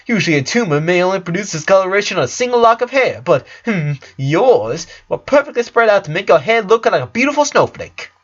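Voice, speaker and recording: A man's voice: high voice, sing-song, brisk, few pauses, fairly bright tone, muffled recording, voice pushed up, heavily acted. A man voicing a blunt, glib doctor in a story reading, lecturing in a rambling, sarcastic way. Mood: sardonic, rambling. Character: glib, blunt, morbidly curious male doctor.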